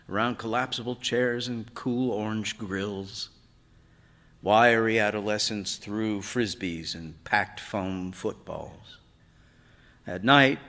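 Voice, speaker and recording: Male story reader, literary fiction, measured, expressive, mid-pitched, live stage, long hall reverb. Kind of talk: literary short story reading before an audience.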